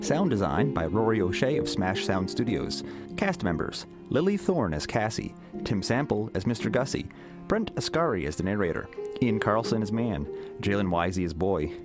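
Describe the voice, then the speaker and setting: A man reading scripted credits as a podcast announcer. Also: fairly low pitch. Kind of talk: scripted narration. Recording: loud guitar music under the voice.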